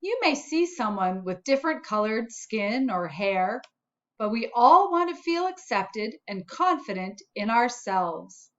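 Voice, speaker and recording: Lady reading as a cheerful helpful spot from a kids book, kinda high, nice and slow, encouraging. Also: sing-song, highs cut off. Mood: upbeat, encouraging. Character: friendly encouraging storybook spot, cheerful helper for children. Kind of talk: children's storybook narration.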